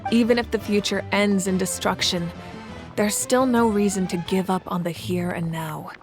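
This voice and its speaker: A woman as a calm, resolute ruler. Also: mid-range voice, close to an everyday voice. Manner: measured, composed, thoughtful. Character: adult female ruler of a land, resolute and calm. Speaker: ruling Archon. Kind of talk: video game voice acting. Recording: game audio with faint music behind.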